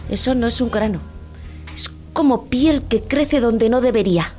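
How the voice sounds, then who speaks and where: Casting: woman as worn-out, reproachful working wife, high voice, sarcastic bite, radio drama with music underneath. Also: moderate pace, darkish tone, natural voice. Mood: scolding, worried. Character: weary, sharp-tongued working-class wife, scolding and worried. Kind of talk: dramatic audio drama acting in Spanish.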